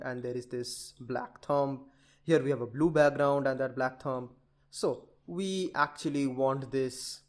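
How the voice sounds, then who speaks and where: A man giving an informal screen-share coding tutorial, rambling and chatty as he talks straight to his viewers. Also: medium pitch. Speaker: programming tutorial presenter.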